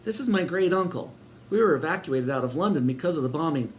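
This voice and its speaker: Guy doing a nervous polite kid, squeaky and high. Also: animated, quick pace, slight background noise, close to an everyday voice. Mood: earnest. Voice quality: squeaky, eager to please. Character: young boy, polite and nervous.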